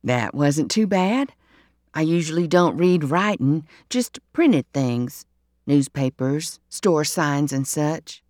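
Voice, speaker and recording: A woman voicing a plain-spoken rural man who talks slowly and simply. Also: deep voice, lively intonation, darkish tone, dry recording, studio quality, pitched below the performer's own voice. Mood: pleased. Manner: slow, halting, folksy speech.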